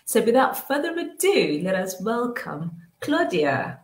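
A woman in her forties hosting a live online interview, greeting viewers with upbeat, drawn-out welcomes over a webcam. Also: mid-range voice, very animated. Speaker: host. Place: small room at home. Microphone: webcam on a video call. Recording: echoey room.

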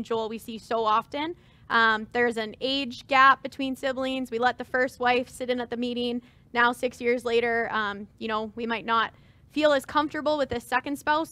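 A woman's voice: fairly high pitch, sharp tone, no echo. A woman in her thirties on a webinar panel, giving earnest advice about planning with many fillers. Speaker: farm transition advisor. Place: stage with dark curtains.

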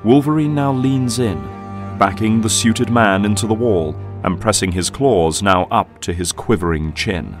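A man's voice: fairly low pitch, a little slow, over music. A man giving dramatic scripted narration as the narrator of a story.

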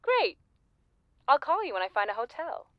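Lonely, needy woman with lively swooping delivery. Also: high voice, normal speed, no room sound. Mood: insistent. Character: lonely, needy woman clinging to old school friend. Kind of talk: audio play acting. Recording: slightly dull, dry recording.

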